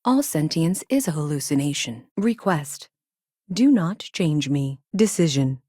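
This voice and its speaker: Video game ship AI, female: low, dry-recorded voice, measured and robotic, coolly logical with a childlike earnestness, clipped phrasing. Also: animated, warm tone, slightly rough, no echo, heavily acted. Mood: measured, analytical. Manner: clipped, announces each statement with a one-word label, literal. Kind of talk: video game character dialogue.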